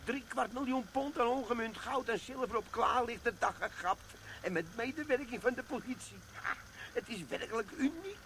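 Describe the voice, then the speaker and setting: An older actor playing a greedy, lazy army sergeant in a radio drama, chatty and pleased with himself. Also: male voice, very high-pitched, normal speed, a bit bright, slight background noise, low-quality recording. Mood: gleeful. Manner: chatty and self-satisfied, grumbles about work.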